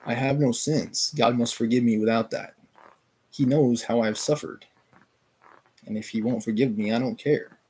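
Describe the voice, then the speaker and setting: A man voicing a dying, delirious mother, hoarse and gasping between words, in a read-aloud novel. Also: medium pitch, fairly even intonation, normal speed, mellow, close to an everyday voice, rough recording. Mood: defiant.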